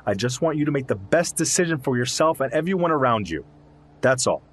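Husky, earnest zoologist friend. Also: male voice, mid-range voice, animated, fairly quick. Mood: reassuring. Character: concerned, loyal male zoologist friend of an investigator. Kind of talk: audio drama dialogue.

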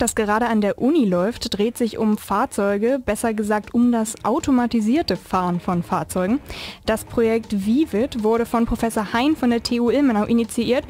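A woman's voice: on the high side, darkish tone. A woman co-hosting a radio show, moving between scripted explanation and informal talk.

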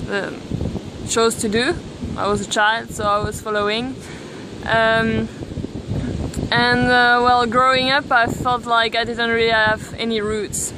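A young woman from Belgium, filming herself at arm's length on a beach and talking thoughtfully with many pauses and restarts. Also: on the high side. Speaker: vlogger. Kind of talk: casual selfie vlog. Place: outdoors on a beach, windy. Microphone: camera at arm's length. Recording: wind noise on the mic.

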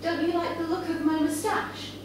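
A timid, eager suitor from a period story, voiced by a woman reader. The voice is high, flustered and hesitant, heard from a distance in a noisy, echoing room. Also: flat delivery, moderate pace. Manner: stammering, hesitant. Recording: echoey noisy room, far from microphone.

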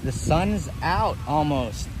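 Man, slightly high pitch, casual livestream chat, easygoing shout-outs, outdoor handheld recording, clear background noise. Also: lively intonation, slow. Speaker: livestream host. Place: outdoors, walking along a beach. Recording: noisy outdoor ambience, breezy.

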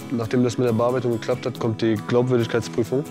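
A man in his late twenties from Afghanistan, a footballer calmly explaining things in a conversation. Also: mid-range voice, fast talker. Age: 20s. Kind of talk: conversational interview. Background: guitar music.

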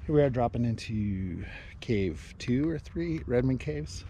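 Brief: middle-aged man, vlog host, chatty cave tour on a handheld camera, mid pitch, faint hiss behind. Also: expressive, a little slow, warm tone. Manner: chatty, pointing things out. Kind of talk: casual narration while exploring. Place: inside a lava tube cave. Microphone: handheld action camera.

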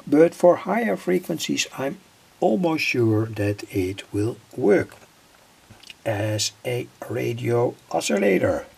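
Man, husky and gravelly, halting delivery, informal technical explainer, deliberate pauses. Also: medium pitch, sing-song, a little mellow. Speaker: electronics hobbyist presenter.

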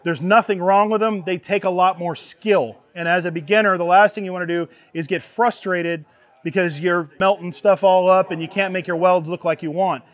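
A man's voice: high voice, steady tone, moderate pace. A middle-aged man instructing a group in a live hands-on class, plain-spoken and folksy, checking that listeners follow. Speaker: welding instructor. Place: outdoors under an open tent canopy before a seated group.

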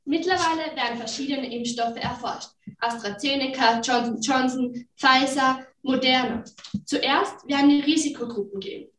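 Teen girl narrator, bulletin delivery, high voice, distant and roomy. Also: fairly even intonation, normal speed, fairly bright tone, slight background noise. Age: teens. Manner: matter-of-fact, newsreader-like. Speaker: narrator in a school play. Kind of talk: announcing dates and news like a bulletin. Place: large school classroom. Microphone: far camera. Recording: roomy, distant classroom recording.

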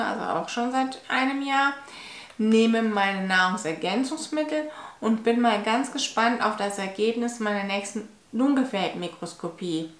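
Woman, forties, nasal with a cold, tired halting talk, higher voice, roomy echo. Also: a bit bright. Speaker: vlogger. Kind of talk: personal health vlog. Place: home living room, indoors. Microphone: fixed camera at medium distance. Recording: echoey room.

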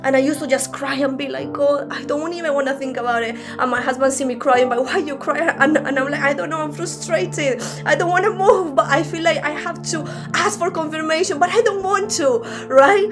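High-pitched young Spanish-accented woman preaching informally. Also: steady tone. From Spain. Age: young adult. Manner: halting, conversational, earnest. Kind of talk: informal teaching with personal testimony. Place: small room at home. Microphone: laptop on a video call. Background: music.

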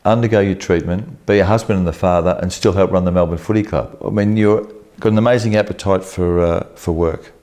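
Measured male interviewer, low voice. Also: fairly even intonation, dark tone. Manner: measured, direct questioning. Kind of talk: television interview.

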